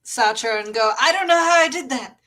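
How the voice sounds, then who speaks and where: A woman's voice: high-pitched, lively intonation, a little fast, sharp tone. A woman playing a tabletop role-playing game, chatting casually and hesitating as she works out what her character does. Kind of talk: casual in-game chat, improvised role-play.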